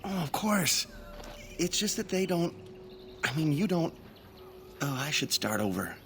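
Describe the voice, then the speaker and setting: A young elf hunter apprentice in a fantasy video game, earnest and lovesick. His voice sits fairly high and moves around a lot, with timid, stammering delivery. Some background noise and outdoor ambience with birds sit behind him. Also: big pitch swings, a little slow, a bit bright, full character voice. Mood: nervous, flustered. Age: young adult. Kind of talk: dramatic game dialogue.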